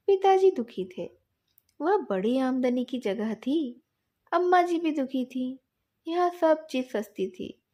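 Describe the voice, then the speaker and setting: A woman narrating a bedtime story in an expressive first-person storytelling style. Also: high-pitched.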